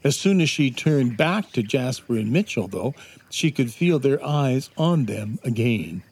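A man narrating a scripted children's adventure story in a measured, dramatic storytelling manner. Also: medium pitch, sing-song, darkish tone, husky. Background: instrumental music.

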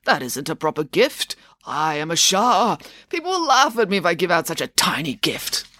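A woman's voice: fairly low pitch, sing-song, normal speed, pushed and bright, no room sound, studio quality, heavily acted. A woman voicing a grand, pompous old male Persian ruler in a storybook tale. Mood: affronted. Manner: pompous, proclaiming.

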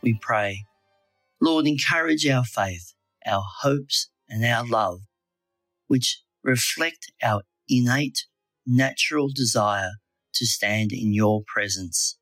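Man, slow-paced, earnest, measured, spiritual reflection, dry recording, soft music behind. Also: mid-range voice, no echo, rough recording. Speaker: lay reflection speaker. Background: background music.

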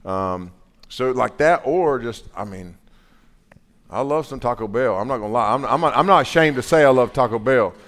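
A man, casually hosting a couples panel at a live event, relaxed and chatty. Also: mid-range voice, moderate pace. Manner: relaxed, chatty, laughs often.

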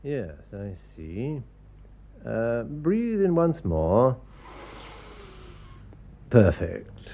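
An actor playing an eminent English physician in a radio drama, formal and authoritative, with a Received Pronunciation accent. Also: male voice, medium pitch, sing-song, creaky, narrow, tinny audio, slight background noise, heavily acted. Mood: measured, probing. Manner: measured, formal, authoritative bedside manner. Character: eminent Harley Street physician, smooth, measured, authoritative man. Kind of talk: classic radio drama acting.

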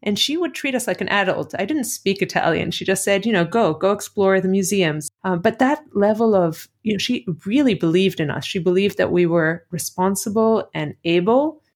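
A woman's voice: medium pitch, expressive, moderate pace, few pauses. A middle-aged woman from Greece with an American accent, telling personal stories as an interview guest. She talks conversationally and thinks aloud, correcting herself as she goes. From Athens, Greece. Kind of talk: reflective personal interview storytelling.

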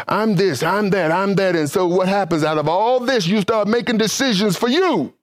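A man's voice: fairly high pitch, no echo, clean studio sound. A man preaching a sermon with a fervent, rising and falling cadence and casual, conversational phrasing.

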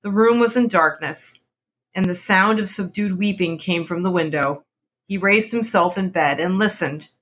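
A woman's voice: lowish voice, moderate pace, fairly bright tone. A woman narrating a literary horror story as a dramatic reading.

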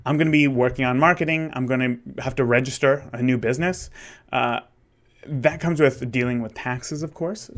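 A man's voice: mid-range voice, normal speed. A man of thirty, a wedding photographer, talking candidly in a rambling solo monologue with many restarts. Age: 30s. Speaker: wedding photographer and podcast host.

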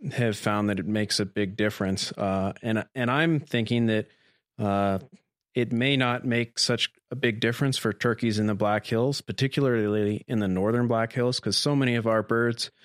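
Low-voiced young man, careful and hesitant. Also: no room sound, studio quality. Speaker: graduate student researcher, interview guest. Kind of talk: informal explanation of research.

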